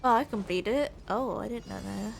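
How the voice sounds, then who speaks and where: A woman's voice: medium pitch, normal speed, creaky, noisy background. A woman giving casual live reaction commentary as a gaming streamer. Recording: commentary over game music. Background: game music.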